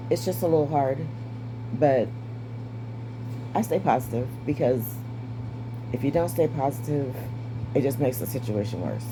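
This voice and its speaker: A woman in her fifties with a raspy, strained voice and short breath, giving a candid personal update. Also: extremely low pitch, noisy background. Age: 50s. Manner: candid, wry, stays positive. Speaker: vlogger. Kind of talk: casual personal update to camera.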